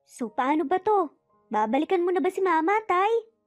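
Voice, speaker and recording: A cheeky little boy, acted out by a woman storyteller, with a very high, childlike voice. He sounds playful and pleading, in a dry recording over faint music. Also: sing-song, normal speed, no echo. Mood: teasing. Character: cheeky young triplet boys teasing their parents. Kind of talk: dramatized storytelling.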